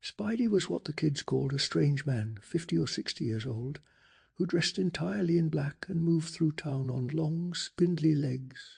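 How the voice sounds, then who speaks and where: Male ASMR narrator for bedtime stories: heavy vocal fry, very breathy, soft and unhurried. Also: a little high. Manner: calm, hushed, measured storytelling. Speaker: narrator of bedtime story recap. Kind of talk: soft-spoken ASMR scripted story narration.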